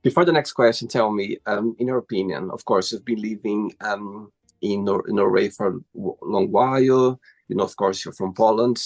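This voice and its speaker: A man's voice: lowish voice, normal speed, few pauses, darkish tone, soft background music. A man hosting an interview, asking friendly questions and reacting with enthusiasm. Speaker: interview host. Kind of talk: casual interview.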